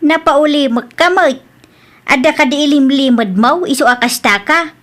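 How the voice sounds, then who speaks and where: Nagging suspicious mom, woman acting, real high voice, radio drama. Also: moderate pace, fairly bright tone. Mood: suspicious, scolding. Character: suspicious, nagging mother of a grown daughter.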